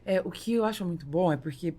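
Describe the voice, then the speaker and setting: A Brazilian woman speaks as the host of a business podcast. Her voice sits a little low for a woman, and she talks in a conversational interviewing style. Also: fast, warm tone.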